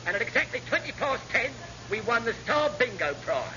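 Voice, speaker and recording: Blustery scheming navy petty officer, old radio comedy, really high voice, loads of noise. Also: male voice, sing-song, brisk. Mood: slyly pleading. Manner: blustering, indignant, theatrical. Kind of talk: 1950s-60s British radio comedy acting. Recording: muffled, very noisy old radio recording.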